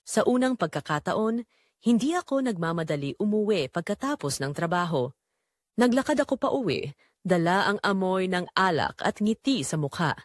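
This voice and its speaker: A woman's voice: on the low side, animated, normal speed, no echo, clean studio sound. A woman with a Filipino accent giving emotive, confessional first-person narration for a scripted audio drama.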